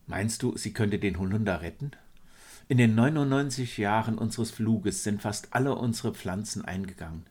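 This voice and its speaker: A man's voice: lowish voice, normal speed, a little mellow, natural voice. A man voicing a baffled, despondent male biologist in a science fiction story, earnest and pleading.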